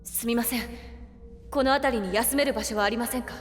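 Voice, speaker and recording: A woman's voice: a little high, brisk, slight background noise, natural voice. A woman playing a devoted young female attendant in an anime game, speaking in a formal, stern and polite manner. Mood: concerned. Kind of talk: Japanese anime game voice acting. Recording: loud music behind the voice.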